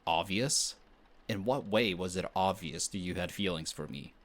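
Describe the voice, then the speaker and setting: A man's voice: sits low, fairly bright tone. A man playing a flustered, defensive young best friend who is secretly smitten, in an intimate romantic audio roleplay. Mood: exasperated, defensive. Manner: defensive, bickering, halting when shy.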